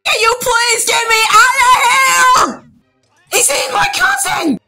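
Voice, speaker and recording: A woman dubbing an excitable, exasperated cartoon cat in a dramatic, exclamatory fan-dub style. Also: very high voice, takes it slowly, raised voice, soft background music.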